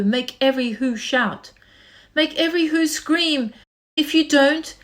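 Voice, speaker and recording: Lady doing a loyal kindly elephant from a picture book, high voice, calling out all earnest and urgent.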